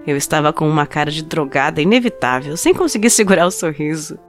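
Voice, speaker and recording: A woman plays a wistful, heartbroken young woman narrating her own love story for an audio drama. Her voice sits slightly low, intimate and confessional, with reflective pauses. Music plays prominently beneath her. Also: lively intonation. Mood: giddy, nostalgic. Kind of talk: dramatized first-person short story narration in a podcast. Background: music under the voice.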